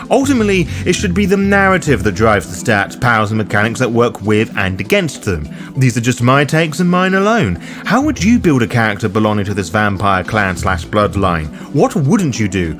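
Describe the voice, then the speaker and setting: A man narrating a scripted guide as its host, with a theatrical, deliberate delivery and dry, irreverent asides. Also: mid-range voice. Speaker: host of a roleplay guide show.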